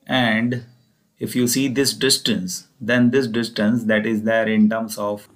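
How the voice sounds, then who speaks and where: A man's voice: on the low side, little pitch movement, slow. A man with an Indian accent explaining engineering material step by step as a lecturer, in an explanatory, repetitive way. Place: voice-over recorded over slides.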